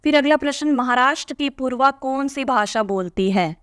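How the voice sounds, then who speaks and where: A woman's voice: high-pitched, normal speed, fairly bright tone, dry recording, clean studio sound. Woman teacher explaining a textbook lesson. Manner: explains patiently, reads aloud then repeats answers.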